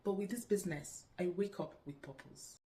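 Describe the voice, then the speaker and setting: A woman from Nigeria giving a soft, reflective promotional testimonial. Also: lowish voice, brisk, echoey room.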